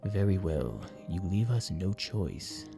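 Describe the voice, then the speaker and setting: A man's voice: deep voice, sing-song, gravelly, over music, exaggerated voice. A man voicing a stern, solemn, patriotic cartoon cat hero in an absurd storybook reading. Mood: grave regret. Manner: slow, solemn, melodramatic delivery. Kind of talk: absurd fan fiction audiobook reading.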